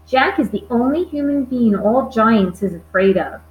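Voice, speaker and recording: A woman voicing a gentle, cautious male giant with quaint muddled grammar and a hushed, confiding manner for a storybook, in an echoey room. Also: fairly high pitch, expressive, moderate pace, dull audio, a little hiss or hum, lightly acted. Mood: confiding, explaining.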